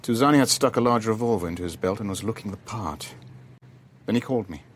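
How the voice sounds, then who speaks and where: An actor playing a cool-headed ship owner who bluffs and narrates his own thriller in a measured, controlled way for a radio drama. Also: on the low side, slight background noise, natural voice. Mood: calm, guarded. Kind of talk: radio drama acting and first-person narration.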